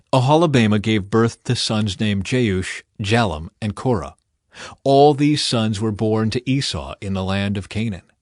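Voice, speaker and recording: Guy reading bible audiobook, lowish voice, dry close recording, measured and solemn. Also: no echo. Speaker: audiobook narrator. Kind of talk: scripted scripture narration.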